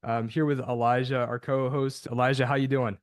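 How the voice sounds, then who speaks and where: A man's voice: mid-range voice, level tone, a little fast, dry recording, studio quality. A man hosting an interview podcast, upbeat and chatty, restarting his sentences often. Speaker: podcast host.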